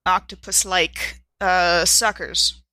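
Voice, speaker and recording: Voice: medium pitch, flat delivery, slow, bright, forceful tone, dry recording. A player in a tabletop role-play game, talking casually.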